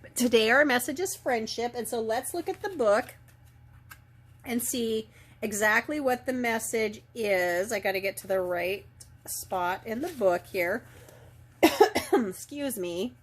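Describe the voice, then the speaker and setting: A woman with a slight head cold and a raw, gravelly throat, giving a soft, unhurried daily oracle card reading full of ums and trailing phrases. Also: on the high side, expressive. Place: indoor filming setup lit by ring lights.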